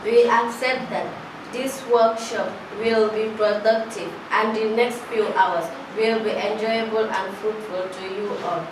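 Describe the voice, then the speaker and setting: A woman of middle pitch formally reads a list of names and titles at an event. She is far from the mic in a very echoey hall, with heavy noise around her. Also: steady tone, a little slow. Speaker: event presenter. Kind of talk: formal welcome, reading a list of guests.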